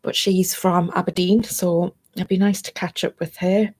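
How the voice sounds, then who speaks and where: A woman's voice: on the low side, level tone, moderate pace, a little mellow, slightly rough. A woman with a North East English accent chatting to camera in a meandering way, her throat a little froggy and chesty. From North East England. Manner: chatty and meandering, drifting between topics. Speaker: vlogger. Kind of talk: casual chat to camera.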